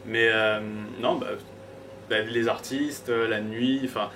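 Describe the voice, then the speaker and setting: A man's voice: medium pitch, steady tone, takes it slowly, a bit bright. Joking Frenchman heard from a distance. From France. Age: 20s. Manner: joking, hesitant with many fillers. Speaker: DJ, interview guest. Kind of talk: casual interview chat. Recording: distant mic, noisy background.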